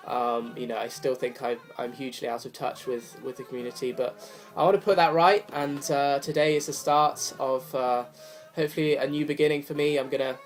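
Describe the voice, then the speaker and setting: A young man with a cold talks casually about his records, rambling and apologetic with lots of fillers. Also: medium pitch. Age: young adult. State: hoarse from a cold. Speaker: vinyl collector vlogger. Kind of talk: casual chat to camera. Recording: faint music behind the voice. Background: jazz record playing softly.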